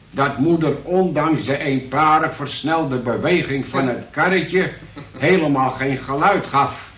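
An actor in a radio play as a police sergeant, reading out a statement in a formal, deliberate manner. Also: male voice, on the high side, fairly bright tone. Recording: echoey radio play with faint noise.

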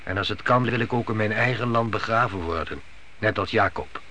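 A man's voice: fairly low pitch, a little fast, pushed and bright. Stern pious father, biblical radio play, solemn n authoritative, slightly noisy recording. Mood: firm. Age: middle-aged. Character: stern, pious patriarch leading his family, biblical times.

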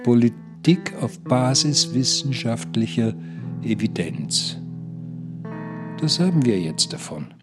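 An actor playing an older care-home pensioner who stubbornly preaches positivity in a declamatory, insistent radio play style. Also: male voice, medium pitch, very animated, slow pace, music in the background. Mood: earnest persuasion. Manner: declamatory, insistent, repeats himself. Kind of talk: German radio play acting.

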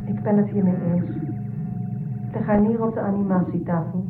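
A woman's voice: mid-range voice, fairly even intonation, moderate pace, over music, lightly acted. A woman playing a composed, deferential android first officer in a science fiction radio drama, speaking formally and clinically. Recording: echoey, thin phone-like sound.

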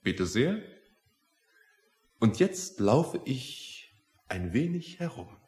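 An actor playing a stubborn, unintimidated man in a radio play, courteous and deliberate, philosophical in his phrasing. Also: mid-range voice, very animated, a little mellow. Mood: matter-of-fact. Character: stubborn, unintimidated male petitioner, plain-spoken and philosophical. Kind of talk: German radio play acting.

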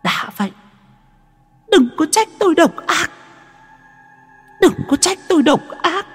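A man voicing a vengeful young female ghost, high, shrill and reproachful. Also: sing-song, unhurried, fairly bright tone, big-room sound, soft background music, heavily acted. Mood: accusing.

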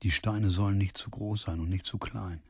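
A brooding, brusque man in a radio drama. His voice is low with a lot of fry, and he mutters over clearly noisy sound. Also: fairly even intonation, normal speed. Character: gruff, brooding spare-parts dealer, reading and muttering. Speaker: lead character. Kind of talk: German radio drama acting. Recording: clear background noise.

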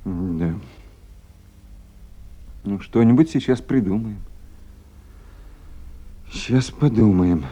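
A man's voice: on the low side, expressive, slow, pauses often, warm tone. An actor playing a patient, dutiful male duty officer in a naturalistic radio drama, attentive in his manner. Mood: quiet, sympathetic.

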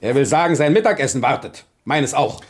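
An actor playing an irate, tyrannical old king who barks commands and broods in low mutters, in a 1970s radio drama. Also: expressive, brisk, slight background noise, lightly acted. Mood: commanding.

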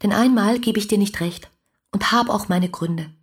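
An actress playing an ardent, dreamy young letter writer, breathy and confiding, in a radio play. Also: female voice, mid-range voice, normal speed, natural voice. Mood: earnest, defiant. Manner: musing, confiding, intimate.

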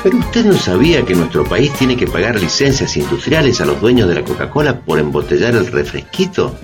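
An actor playing a wry, didactic explainer with an ironic, emphatic manner in a satirical educational radio drama. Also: male voice, sits low, sing-song, fairly quick, music in the background. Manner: ironic, emphatic, rhetorical questions. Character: wry, didactic male explainer debunking foreign patents.

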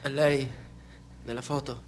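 An actor in a radio drama playing a menacing male stranger who speaks in a calm, insinuating, measured way. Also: mid-range voice, close to an everyday voice. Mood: cool, menacing.

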